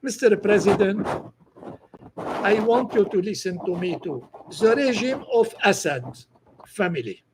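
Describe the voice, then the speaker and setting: A man's voice: sits high, lively intonation, a little slow, background noise. A man from northern Lebanon with a Lebanese accent, giving a political monologue in broken English with some French phrases.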